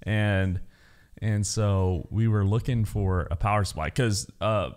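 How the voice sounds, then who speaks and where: A man telling a story in casual podcast chat, relaxed and full of fillers. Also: fairly low pitch, a little slow. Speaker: podcast co-host.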